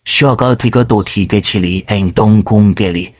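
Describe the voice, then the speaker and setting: A concerned father with a lowish voice who questions his young daughter, as a man plays him. He sounds plain and steady in a homemade storybook. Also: measured pace, dry recording, natural voice.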